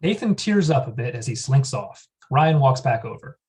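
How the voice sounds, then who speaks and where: A man reads the stage directions of a script at a table read. His tone is plain and matter-of-fact, in a middle-pitched voice. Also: big pitch swings, normal speed, darkish tone.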